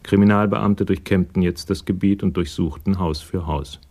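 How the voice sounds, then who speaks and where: An actor as a sober narrator of a German radio crime drama, measured and matter-of-fact. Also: male voice, sits low, fairly even intonation, dark tone.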